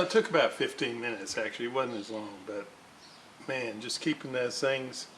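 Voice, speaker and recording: A man giving a casual hands-on repair walkthrough, halting and muttering to himself as he works. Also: mid-range voice, fairly bright tone, slight background noise. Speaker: hobbyist radio restorer, presenter.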